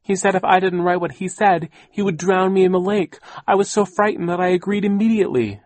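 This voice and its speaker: A man's voice: on the high side, lively intonation, normal speed, a little mellow, no room sound, pitched above the performer's own voice. A man voicing a fussy, fearful older aunt in an audiobook, pedantic and whining. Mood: whining, frightened. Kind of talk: audiobook character voice.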